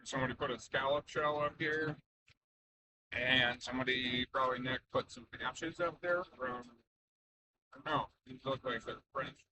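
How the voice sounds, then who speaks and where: A man's voice: medium pitch, fairly even intonation, moderate pace, crowd noise, low-quality recording. A man vlogging in an offhand, wry, musing way. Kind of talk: casual vlog chat.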